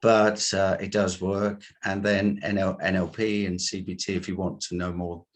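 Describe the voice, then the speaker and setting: A man, a coach being interviewed, explaining his work in deliberate, halting phrases. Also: deep voice, flat delivery. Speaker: guest, coach and hypnotherapist.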